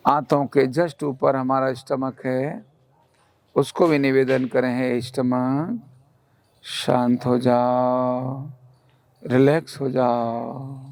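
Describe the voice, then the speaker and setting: A man leading a guided relaxation meditation in a calm, soothing, instructional manner. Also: medium pitch, vocal fry. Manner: calm, soothing, instructional, with gentle repeated commands.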